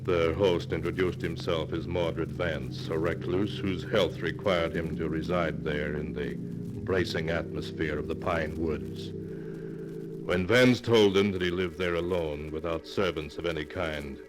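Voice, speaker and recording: A man narrating an old-time radio suspense drama in a grave, measured, dramatic storytelling style. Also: rumbling low, fairly even intonation. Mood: grave, suspenseful. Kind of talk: 1940s radio scripted narration. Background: music.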